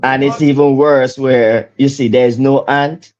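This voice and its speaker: A man's voice: mid-range voice, fairly even intonation, unhurried, a little mellow, lo-fi sound. A man hosting a casual chat, teasing his guests with slangy jokes and laughing. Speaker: host.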